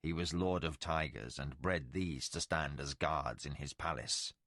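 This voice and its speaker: A man's voice: very deep voice, measured pace, fairly bright tone, no echo, studio quality, pitched below the performer's own voice, exaggerated voice. A man voicing an ancient, commanding male warrior of legend, grave and solemn.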